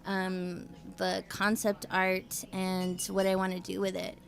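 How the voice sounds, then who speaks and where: A woman's voice: medium pitch, monotone, takes it slowly, creaky. Chatty woman musician guest. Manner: chatty, many fillers and restarts. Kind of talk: casual interview chat. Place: radio show studio.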